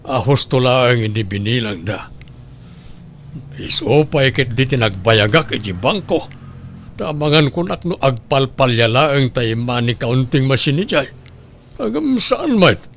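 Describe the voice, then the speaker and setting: A man with an Ilocano accent acting a demanding, stressed boss in a radio-style drama. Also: mid-range voice. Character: demanding, stressed male boss fretting over money. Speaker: voice actor.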